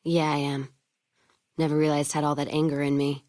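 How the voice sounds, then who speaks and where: A woman's voice: low voice, level tone, a little fast, a little mellow, no room sound, studio quality, lightly acted. A woman playing a tough, foul-mouthed, vengeful caravan trader in a video game, talking in a blunt, folksy, wry way. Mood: grimly satisfied. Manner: blunt, folksy, wry drawl of plain talk. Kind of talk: video game dialogue acting.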